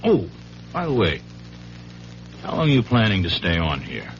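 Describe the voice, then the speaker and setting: An actor playing a brisk, folksy, shrewd police chief in an old radio drama. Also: male voice, low voice, huge pitch swings, faint music behind, exaggerated voice. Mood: wry, probing. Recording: muffled old radio, loud storm noise.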